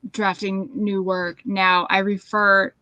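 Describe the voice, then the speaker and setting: A woman in middle age answers as a guest author, pausing and searching for words in a reflective way. Her pitch is average and the sound is very dry. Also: flat delivery, bright, forceful tone, no room sound, muffled recording. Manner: hesitant, reflective, halting. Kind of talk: casual interview answers. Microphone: headset on a video call.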